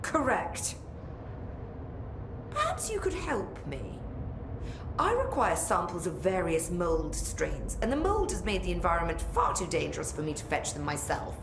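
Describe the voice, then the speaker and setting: Snooty impatient scientist, super animated up and down voice, echoey room with noisy ambience. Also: female voice, fairly low pitch, unhurried, full character voice. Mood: brusque, impatient. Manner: pompous, precise, condescending. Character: haughty, brusque female research scientist, impatient and self-assured. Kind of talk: theatrical video game acting.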